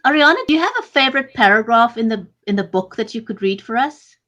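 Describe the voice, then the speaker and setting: A woman's voice: fairly high pitch, lively intonation, fast talker, sharp tone, muffled recording. A woman co-hosting a live writers' podcast over a video call, gracious and effusive with her guest and audience. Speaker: podcast co-host.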